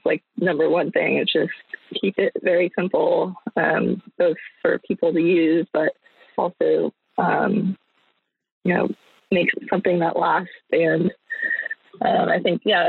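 A woman's voice: lowish voice, creaky, husky, no room sound. A young adult woman chatting casually as an interview guest, thinking aloud in a hesitant way. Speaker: guest, small business owner. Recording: thin phone-like line.

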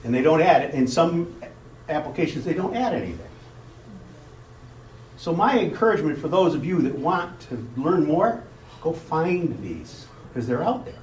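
A man's voice: fairly high pitch, lively intonation, moderate pace, darkish tone. A man giving an informal public speech, recorded at a distance in an echoey room. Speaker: club speaker. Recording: echoey room, distant mic, faint noise.

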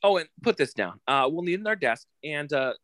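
A man's voice: a little high, lively intonation, fairly quick, a bit bright, no echo. A man playing a cocky, breezy young detective in a 1940s radio drama, nonchalant and presumptuous.

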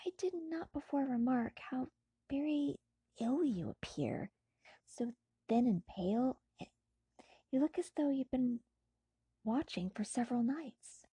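An eager young gentleman of a classic novel played by a woman reader, pitched high and effusive. The voice is very husky and breathy, cheerful and full of exclamation, recorded close and dry. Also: sing-song, slow pace, pauses often, dry recording, heavily acted, rough recording. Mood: concerned. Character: cheerful, eager, warmly concerned young male friend. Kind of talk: dramatised audiobook reading.

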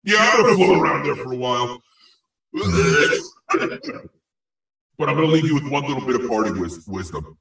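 A man's voice: mid-range voice, big pitch swings, normal speed, creaky, long pauses, a bit bright. A man playing a crude, playful, teasing ghost in a comedic podcast audio play. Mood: mischievous. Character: crude, playful male ghost possessing a psychic. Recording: very echoey, distant from mic.

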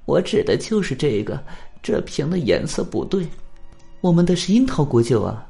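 A man's voice: on the high side, very animated, moderate pace, creaky, warm tone, faint music behind, pitched above the performer's own voice. A man as Mama Rossi, humble sorrowful old widow. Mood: deferential, uneasy. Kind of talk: radio drama acting.

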